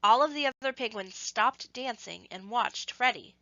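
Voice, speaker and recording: A woman reading a scripted story to children, with expressive, measured phrasing and emphasis on action words. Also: fairly high pitch, no echo, dull audio.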